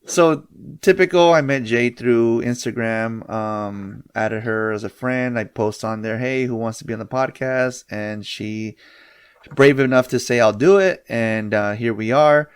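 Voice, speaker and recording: A man's voice: medium pitch, unhurried, clean tone, no echo. A man hosting a casual podcast in a mid-range voice. He rambles easily and circles back on his words. The sound is dry, with no room around him. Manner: rambling, easygoing, restarts. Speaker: podcast host. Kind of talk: casual interview chat.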